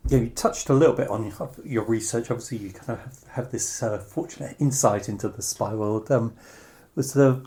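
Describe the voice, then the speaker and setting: Very mellow, hesitant male interviewer. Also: mid-range voice, a little fast, hardly any pauses. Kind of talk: conversational interview questions.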